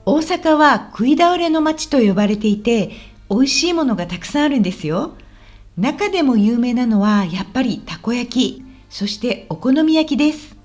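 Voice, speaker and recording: A woman acts out a friendly, helpful local guide in a radio drama for language learners. Her voice is high and very animated, with polite, clearly spoken explanations. Faint music plays underneath. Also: moderate pace. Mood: explaining. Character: friendly, helpful woman guiding a visitor around Osaka.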